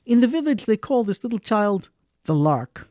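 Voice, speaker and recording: A man narrating a radio drama in a dramatic, expressive way, swinging between hushed lines and stressed ones. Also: sits high, dry recording. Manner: slow and expressive, swings between hush and emphasis.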